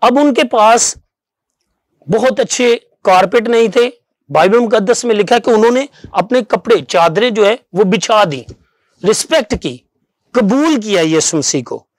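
A middle-aged man delivers a televised Bible message. His voice sits high for a man and is recorded dry on a lapel mic in a studio. He explains step by step in a halting way, repeating phrases for emphasis. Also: animated, no room sound. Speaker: pastor. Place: small TV studio, seated at a desk.

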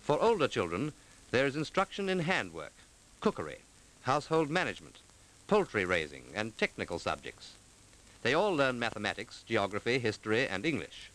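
A man delivering formal, measured scripted narration in the manner of a 1940s documentary, clearly enunciated. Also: mid-range voice, fairly bright tone, low-quality recording. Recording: vintage 1940s film soundtrack.

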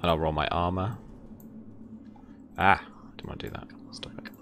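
A man's voice: low-pitched, fairly even intonation, moderate pace, fairly bright tone, slight background noise. A young man running a tabletop game as game master, narrating and talking rules in a casual, hesitant way. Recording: music behind the voice.

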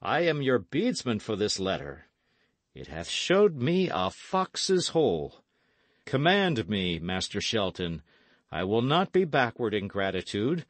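A man's voice: fairly low pitch, sing-song, slow, no echo. A man voicing a stern but fair-minded English earl in an audiobook, with formal, weighty, archaic speech. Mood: resolute, grateful.

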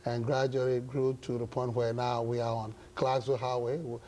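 Ghanaian guy, middle aged pastor, warm dark voice, picks his words slowly in an interview, bit of background noise. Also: medium pitch, level tone, poor audio. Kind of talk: studio interview, measured explanation. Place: indoor television studio set. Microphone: lapel mic.

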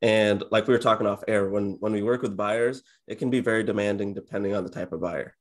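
Young male guest, 20s, deep-leaning voice, unexcitable and introverted, relaxed conversational interview delivery from a home office. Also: quick pace. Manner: low-key, introverted, self-described monotone. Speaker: interview guest, real estate agent and YouTuber. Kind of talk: casual interview chat. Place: indoor home office, on a video call.

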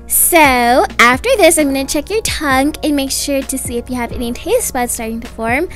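Very high-pitched, lively young woman. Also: normal speed, soft background music. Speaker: video creator voicing characters. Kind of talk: acted children's roleplay story.

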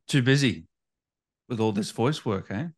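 A man's voice: medium pitch, expressive, takes it slowly, husky, no room sound, clean studio sound. A man hosting a casual interview, asking questions in an informal, chatty way with tag questions. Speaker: interviewer, podcast host.

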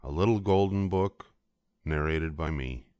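A man's voice: very deep voice, unhurried, darkish tone, clear, resonant tone, no echo. An older man reading a children's storybook aloud as scripted narration. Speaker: storybook reader.

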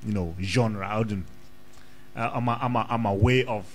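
A Kenyan man in his thirties with a fairly low voice talks at a boom mic in a small radio studio. He is animated and full of restarts, switching between English and Swahili slang. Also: moderate pace. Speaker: guest rapper. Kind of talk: casual radio interview. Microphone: studio mic on boom arm.

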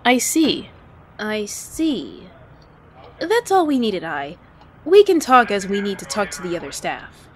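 A woman's voice: medium pitch, wildly swooping pitch, slow pace. A woman playing a reserved, easily flustered young inspector in an anime-style fan audio drama, polite but terse and dry. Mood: baffled, reserved.

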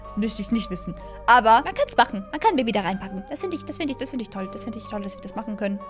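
A young adult woman giving casual, excitable gameplay commentary as a host, repeating phrases and stumbling over words, close on a studio mic. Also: a little high, very fast, music in the background. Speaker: gaming video host. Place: small room at a gaming setup, indoors. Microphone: close studio mic on boom arm.

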